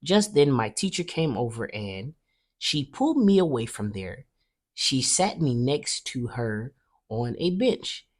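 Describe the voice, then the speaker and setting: Woman, children's read-aloud narrator, very low pitch, animated intonation, expressive. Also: measured pace. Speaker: storybook reader.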